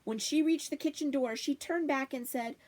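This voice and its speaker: A woman storyteller giving an expressive read-aloud of a children's novel. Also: sits high, normal speed. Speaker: library storyteller.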